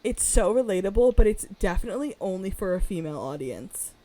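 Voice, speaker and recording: Raspy-voiced woman chatting casually. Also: mid-range voice, fast talker, poor audio. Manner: rambling, chatty, animated storyteller. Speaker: podcast co-host. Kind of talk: casual chat.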